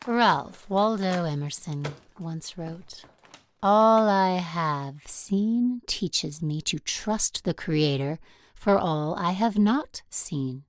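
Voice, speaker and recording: A stern religious school teacher in an audio drama. Her voice is very low and she lectures slowly, with deliberate, pointed phrasing, in a dry, close studio sound. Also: no echo. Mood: stern, instructive.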